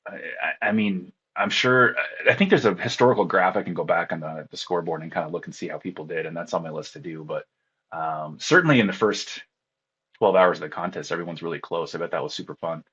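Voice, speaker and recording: Deep husky man on a dull line. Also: fast, fairly bright tone. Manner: tired, rambling, laughs often. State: groggy from lack of sleep. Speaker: interview guest, contest radio operator. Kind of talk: casual interview talk.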